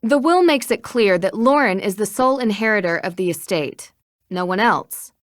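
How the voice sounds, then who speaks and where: A woman playing a poised young female amateur detective in a video game, speaking with an American accent in a measured, articulate, matter-of-fact way. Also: mid-range voice, animated, no echo, clean studio sound, natural voice. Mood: earnest, explaining. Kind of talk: scripted game dialogue.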